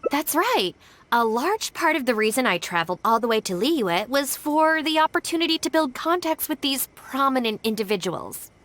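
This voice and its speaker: A woman's voice: high voice, animated, moderate pace, a bit bright. A woman playing a composed young woman in a video game, speaking in formal, businesslike phrases as she covers for her gang. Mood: smooth, persuasive. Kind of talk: English video game voice acting.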